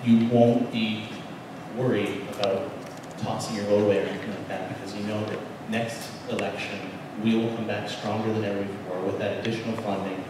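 A young man, a political candidate, giving a halting closing statement full of fillers into a handheld mic in a large banquet hall. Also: on the low side, little pitch movement. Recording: echoey hall from far off, background noise.

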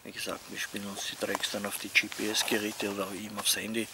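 A middle-aged man with an Austrian accent talking casually and haltingly to camera as an outdoor vlogger. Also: fairly low pitch, completely flat delivery. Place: outdoors among leafy trees. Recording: outdoor ambient noise.

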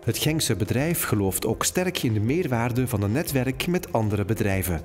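Male narrator, mid pitch, animated promo read, faint music bed. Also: normal speed. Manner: clear, promotional reading. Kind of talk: scripted corporate narration.

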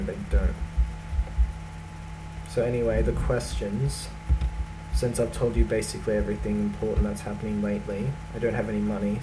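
A young man with an Australian accent, talking casually to his webcam in a dry, deadpan, self-mocking way while answering a list of questions. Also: on the low side, noisy background. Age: young adult. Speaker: vlogger. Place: small indoor room.

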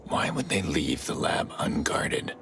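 A very low-voiced, gruff mercenary talks to himself on a covert job in a video game. He is terse, cynical and jaded, with music playing clearly behind him. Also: big pitch swings, unhurried, exaggerated voice. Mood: wry, wary. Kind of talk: video game voice acting, muttered monologue.

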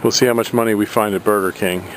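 A man's voice: lowish voice, fairly even intonation, fast talker, a little hiss or hum, poor audio. A man who sells antiques from hauling jobs, talking casually and reading old items aloud while filming with a handheld camera. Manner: rambling, plain-spoken, reads items aloud. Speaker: hauler selling collected antiques. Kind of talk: casual handheld video tour.

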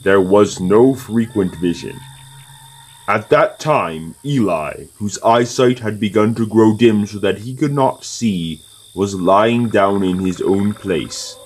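A man's voice: lowish voice, animated, takes it slowly, rough recording. A man narrating scripture from a script in an audio drama.

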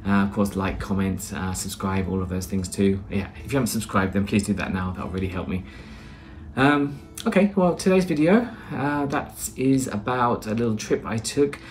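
A man's voice: on the low side, lively intonation, moderate pace. Man, British accent, 30s, casual vlog chat, faint background music. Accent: British English. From Britain. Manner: chatty, rambling, many "uh"s and restarts. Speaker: vlogger. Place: small plain room indoors.

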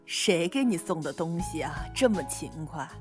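A woman's voice: fairly low pitch, lively intonation, normal speed, over music, lightly acted. A woman acting a kindly, nosy old granny in an audio drama, inquisitive and teasing. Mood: fond, teasing.

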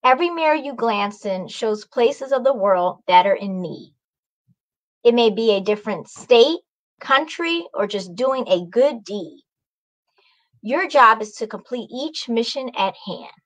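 A woman's voice: fairly high pitch, lively intonation, unhurried, poor audio. A woman voicing a friendly talking bird guide in a children's story, encouraging and explanatory. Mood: reassuring.

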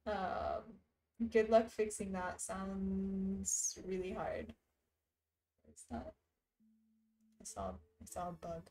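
A woman's voice: medium pitch, animated, takes it slowly, pauses often, mellow, rough recording. A young woman streamer chatting casually and reading viewer messages aloud while she embroiders, with frequent little laughs. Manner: reads chat messages aloud, chatty and giggly. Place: indoor room.

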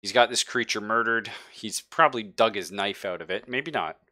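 A man speaking casually and haltingly as game master of an online tabletop game, thinking aloud. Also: on the low side, pushed and bright, dry recording, studio quality.

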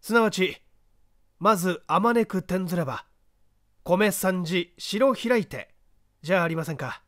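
A coolly assured detective, played by a male reader, speaks in a high, lively voice. He gives polite orders and explains like a patient teacher, in storybook dialogue. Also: unhurried, dry recording, clean studio sound. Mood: confident, explaining. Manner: polite, commanding, didactic. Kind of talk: audiobook character dialogue.

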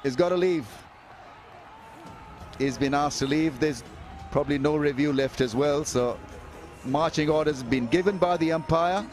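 A man giving live cricket commentary, calling scores and figures and getting excited when wickets fall. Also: on the high side, normal speed, pauses often, faint music behind. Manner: excitable, rises sharply on wickets.

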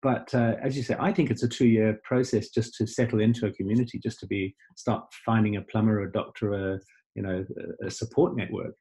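Low-voiced, thoughtful man from Zimbabwe. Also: steady tone, dark tone. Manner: halting, many fillers and restarts, thoughtful. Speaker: life coach guest. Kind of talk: casual video call conversation. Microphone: video call.

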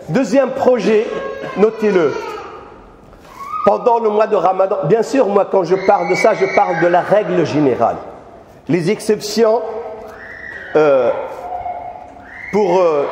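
An older man preaching a mosque lecture into a handheld mic, lively, and often asking his audience questions directly. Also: high-pitched, a little hiss or hum. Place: mosque hall. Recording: echoey hall with long reverb.

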